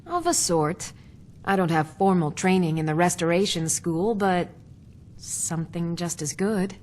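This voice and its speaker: An actress voicing a proud, opinionated middle-aged alchemist shopkeeper in a fantasy video game. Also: female voice, on the low side, expressive, unhurried, a little mellow, a little hiss or hum. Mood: brisk, chatty.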